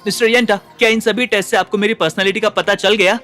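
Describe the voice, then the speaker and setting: A man's voice: high-pitched, steady tone, fast, pushed and bright, soft background music. A man playing an earnest, exasperated, slightly whiny young pet owner in a dubbed children's cartoon. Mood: hopeful.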